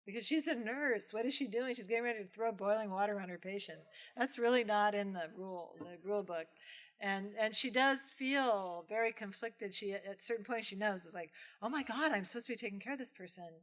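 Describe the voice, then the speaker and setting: Woman lecturer fielding questions, conversational and expressive. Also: mid-range voice, fairly quick. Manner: conversational, animated retelling with acted-out asides and frequent "right?". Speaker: professor giving a film lecture and taking audience questions. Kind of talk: informal lecture question-and-answer. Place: film screening lecture venue with audience.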